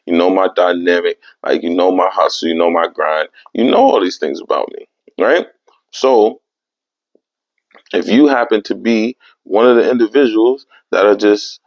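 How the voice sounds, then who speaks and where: A young man hosting his own podcast, talking in a casual, animated way with streetwise slang. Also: fairly low pitch, gravelly, no room sound. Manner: animated, streetwise slang, repeats "you know what I mean". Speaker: podcast host. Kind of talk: casual solo podcast monologue. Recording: dry, slightly dull lo-fi sound.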